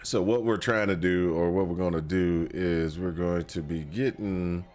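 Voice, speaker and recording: A man's voice: deep voice, steady tone, measured pace, a little mellow. A young man streaming a video game, talking casually with many fillers and restarts while sniffling from a cold. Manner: rambling, lots of fillers and restarts. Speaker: gaming streamer. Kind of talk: casual gameplay talk.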